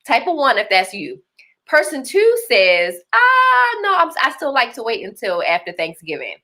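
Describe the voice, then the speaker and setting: A young woman with a Baltimore accent hosts a live online show. Her voice is bright and forward. She is upbeat and chatty, laughs often and reads viewers' comments aloud. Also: on the high side, wildly swooping pitch, moderate pace. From Baltimore, Maryland. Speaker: host. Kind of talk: live-stream chat with viewers.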